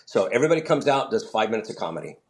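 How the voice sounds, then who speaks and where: A man's voice: lowish voice, racing. A middle-aged male comedian chatting on a remote interview, telling stories and acting out quoted voices. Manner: animated storyteller, acts out quoted voices. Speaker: comedian and show founder. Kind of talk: casual interview chat.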